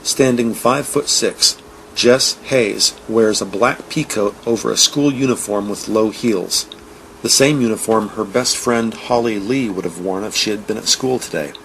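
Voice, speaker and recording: A man's voice: lowish voice, moderate pace, a bit bright, poor audio. Male audio drama narrator, faint background noise. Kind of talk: scripted descriptive narration.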